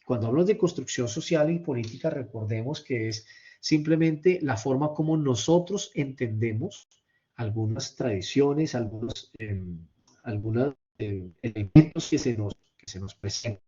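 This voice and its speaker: A man's voice: mid-range voice, expressive, normal speed, warm tone. A man giving a university lecture over slides in a hesitant, explanatory way, often repeating words and checking in with tag questions. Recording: slightly dull, lo-fi microphone sound.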